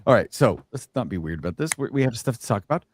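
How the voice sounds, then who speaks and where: A man's voice: medium pitch, sing-song, quick pace, a little hiss or hum. A man hosting a live podcast stream, chatting casually and joking, often restarting his sentences. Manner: joking, loose, restarts a lot. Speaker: podcast host.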